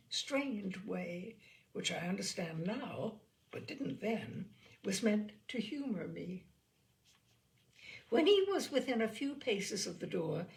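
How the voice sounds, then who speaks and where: A woman reading a literary story aloud as an expressive narrator. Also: mid-range voice, creaky. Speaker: storyteller, reader.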